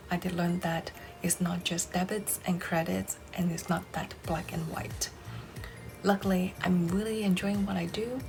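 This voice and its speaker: A woman in her forties, a native Cantonese speaker, talking about herself in a scripted personal vlog with a measured, careful delivery. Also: fairly low pitch, creaky, a little mellow. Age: 40s. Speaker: vlogger.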